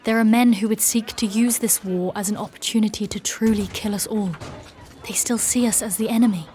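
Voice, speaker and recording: A woman's voice: mid-range voice, steady tone, warm tone, a little hiss or hum, lightly acted. Actress as a grave, formal warrior woman. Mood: guarded, serious. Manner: formal, measured, solemn. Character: grave, guarded warrior woman, a knightly mentor figure. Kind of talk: dark fantasy audio drama acting and narration.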